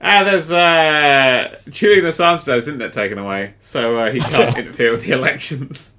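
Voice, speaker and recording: A man's voice: mid-range voice, normal speed, sharp tone, a little hiss or hum. A man co-hosting a podcast, telling crude, sweary jokes in casual chat. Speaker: podcast co-host.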